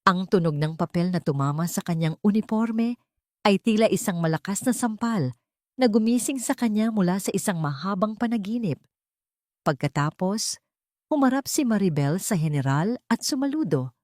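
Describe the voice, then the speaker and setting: A woman's voice: medium pitch, lively intonation, normal speed, darkish tone, no echo, clean studio sound. A woman reading a scripted story as a dramatic narrator.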